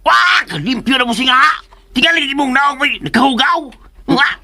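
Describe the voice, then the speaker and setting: A man's voice: extremely high pitch, normal speed, creaky, pushed and bright, full character voice. A man playing a cheeky, flustered servant in a comedic radio drama, whiny and pleading as he placates and teases. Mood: teasing, placating.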